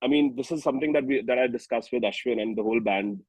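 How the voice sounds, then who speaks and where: A man's voice: medium pitch, fast, slightly rough, no echo, poor audio. A man in his thirties with an Indian accent, chatting casually as a musician being interviewed. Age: 30s. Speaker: singer-songwriter and musician interview guest.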